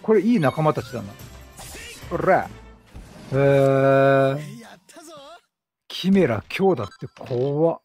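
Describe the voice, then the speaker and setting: A man's voice: mid-range voice, animated, takes it slowly, pauses often, a little mellow, faint music behind. A talkative man doing chatty let's-play commentary, exclaiming and talking to the game's characters. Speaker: gaming host. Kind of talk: chatty let's-play commentary, reading game dialogue aloud.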